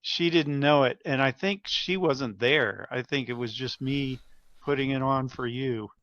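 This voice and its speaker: A man's voice: medium pitch, unhurried, fairly bright tone, lo-fi sound. A man reminiscing casually in conversation.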